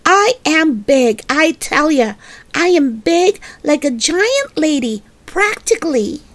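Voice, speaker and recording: Woman as a high-pitched, stubborn, dramatic little girl. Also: sing-song, slow pace, exaggerated voice. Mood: indignant. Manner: childish grammar, emphatic protesting. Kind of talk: children's storybook character voice.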